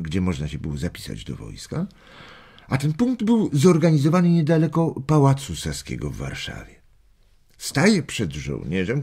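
A man playing an amused old grandfather telling stories to children in an audio drama, unhurried, chuckling and expressive. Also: mid-range voice, breathy edge, heavily acted. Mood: quoting animatedly. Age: older. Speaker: storyteller.

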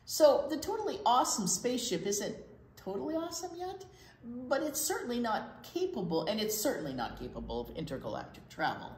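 An older American woman with a fairly high, very animated voice tells a story to young children. She explains things simply and expressively, in a roomy, echoey indoor space. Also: fairly quick, a little hiss or hum. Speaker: children's storytime reader at a library. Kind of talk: read-aloud picture book storytelling. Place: library children's room, indoors.

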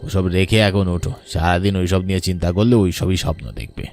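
Man playing a gruff, deep farmer husband. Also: flat delivery, fast talker, a little mellow, faint music behind. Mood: dismissive. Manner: teasing, dismissive, gruff. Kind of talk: dramatized storytelling.

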